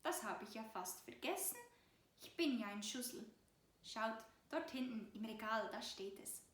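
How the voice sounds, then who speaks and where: A woman voicing a gentle, forgetful old grandfather mouse with a hesitant, kindly manner in a children's story. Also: on the high side, animated, a little slow, reverberant. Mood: amused.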